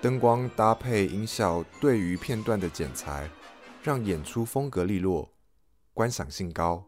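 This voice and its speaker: A man with a low-pitched voice narrates a scripted review in the first person, as the host of an audio log. Faint music plays behind him. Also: expressive, unhurried, darkish tone.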